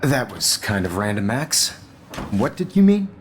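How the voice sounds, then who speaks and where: A deep, stammering art teacher. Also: male voice, sing-song, measured pace, a little hiss or hum. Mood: mild. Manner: hesitant, stammering, mild-mannered. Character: smooth-talking male art teacher, secretly sinister. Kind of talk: video game voice acting.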